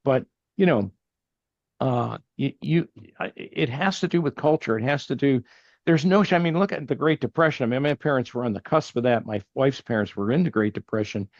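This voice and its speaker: A man's voice: medium pitch, brisk, no room sound. Earnest older man, dry dull audio. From Texas. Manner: earnest, rambling with many restarts. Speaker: podcast guest, family banking partner. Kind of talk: casual interview conversation.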